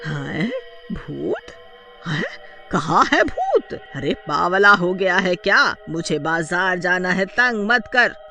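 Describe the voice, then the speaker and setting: Woman acting a brusque, animated village mother. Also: lowish voice, slow, faint music behind, heavily acted. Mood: irritated. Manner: sharp, dismissive, colloquial. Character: brusque, irritated village mother scolding her son.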